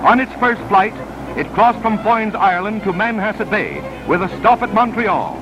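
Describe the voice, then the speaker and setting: A man's voice: sits high, very animated, moderate pace, rough recording. A man narrating a 1930s newsreel in a dramatic, solemn, declamatory style on a vintage soundtrack. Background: newsreel music.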